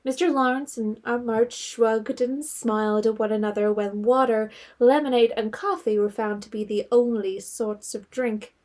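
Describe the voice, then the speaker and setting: A woman's voice: a little high, fairly even intonation, moderate pace, hardly any pauses, a little mellow. A woman reading scripted literary narration for an audio drama.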